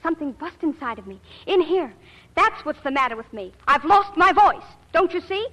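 Cheeky little girl in a radio play, high bright voice, bouncy. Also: animated, moderate pace, slight background noise. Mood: distressed. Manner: plucky, wheedling, fakes being hoarse. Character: spunky scheming young girl singer. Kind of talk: 1940s radio acting.

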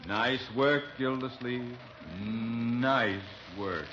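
An actor playing a pompous, sarcastic, excitable gentleman in old-time radio comedy style. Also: mid-range voice, moderate pace. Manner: pompous, sardonic, melodramatic. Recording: dull old radio recording, some noise.

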